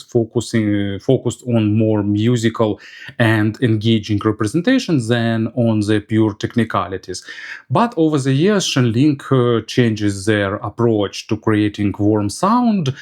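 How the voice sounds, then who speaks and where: A man giving a product review as an audio gear reviewer, speaking in a halting, hesitant way. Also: on the low side, darkish tone.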